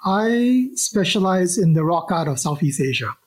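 Man, husky voice, halting answers, lots of restarts. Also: sits high, expressive. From Singapore. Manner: halting, many restarts and fillers. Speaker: archaeologist guest. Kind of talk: interview answers.

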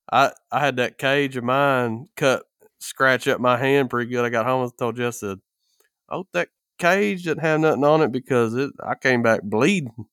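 A man's voice: mid-range voice, moderate pace, fairly bright tone, clean tone, dry recording, clean studio sound. A man in a casual podcast chat, telling stories with folksy, rural phrasing. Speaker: podcast co-host.

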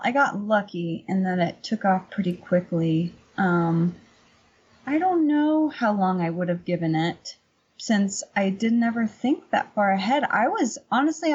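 A middle-aged woman chatting casually as an interview guest and painting teacher, telling anecdotes in an animated, emphatic way. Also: mid-range voice, husky, muffled recording.

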